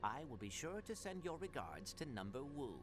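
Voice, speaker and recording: A man's voice: medium pitch, very animated, moderate pace, close to an everyday voice. Male actor as small robot agent, formal, witty, game character, prominent music. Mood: dry, coolly polite. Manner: formal, precise, courteous. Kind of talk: video game character acting. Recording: loud game music behind the voice.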